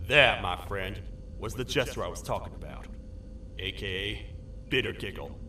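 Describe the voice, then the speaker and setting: A man voicing a stern, weary cartoon toad sheriff in a video game, with a grave, formal, deliberate delivery. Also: on the low side, expressive, bright, forceful tone, a little hiss or hum. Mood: grim, watchful. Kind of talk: video game character acting, log narration.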